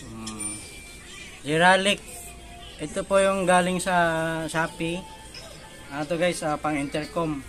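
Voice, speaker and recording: A woman's voice: deep voice, slow, pauses often, fairly bright tone, soft background music. A Filipino woman chatting casually to viewers as she unboxes a parcel on camera. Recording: very noisy amateur recording with outdoor ambience.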